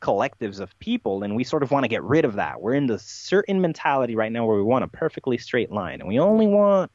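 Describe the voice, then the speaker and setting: Man, lively swooping delivery, opinionated podcast talker, slightly muffled sound. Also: medium pitch, quick pace. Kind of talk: conversational podcast discussion. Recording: dull, roomy, echoey podcast audio.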